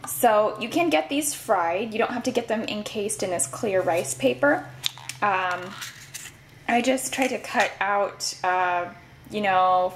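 A woman giving a relaxed, chatty food review at her kitchen counter, with some fillers. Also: mid-range voice, a little hiss or hum, low-quality recording. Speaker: food vlogger. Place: home kitchen counter, indoors.

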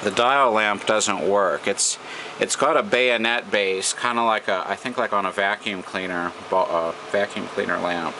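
American male hobbyist, low voice, halting informal demonstration, clear background hum. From United States. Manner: halting, thinking aloud. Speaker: radio repair hobbyist. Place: indoor workbench. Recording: noisy room, steady background hum.

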